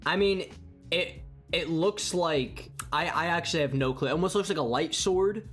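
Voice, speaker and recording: A man's voice: a little high, normal speed, a bit bright. A young man with a congested, sniffly voice, giving enthusiastic, rambling commentary on a game trailer. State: congested with a cold. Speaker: gaming YouTuber. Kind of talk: excited gameplay commentary. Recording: faint music behind.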